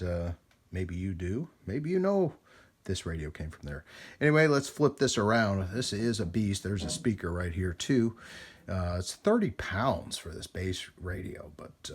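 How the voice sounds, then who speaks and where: A man with a low, husky voice talks through a piece of old equipment. He is casual and folksy, wandering a little and pausing as he works, like someone showing off gear at his own bench. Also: sing-song. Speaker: host demonstrating vintage radio equipment. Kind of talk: casual hands-on vlog narration.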